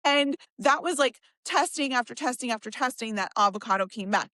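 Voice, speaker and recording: A woman's voice: a little high, expressive, fairly quick, pushed and bright, no room sound. A woman co-hosting a podcast, talking casually and relatably about personal experience. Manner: chatty, relatable, with frequent "like" fillers. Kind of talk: casual conversational chat.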